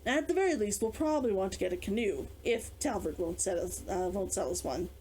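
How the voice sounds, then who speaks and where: A woman's voice: medium pitch, animated, a little fast, a little mellow, slight background noise, natural voice, rough recording. A woman voicing a practical, inquisitive adventurer man in an audiobook, reasoning aloud and questioning. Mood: thoughtful, practical. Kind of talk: character dialogue in audiobook reading.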